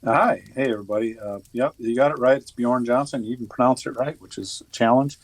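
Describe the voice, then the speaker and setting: A man in his fifties, a retired Army officer, talking as a podcast guest in a casual, halting way with many restarts and fillers. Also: medium pitch, no room sound, rough recording. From United States. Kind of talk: casual interview conversation.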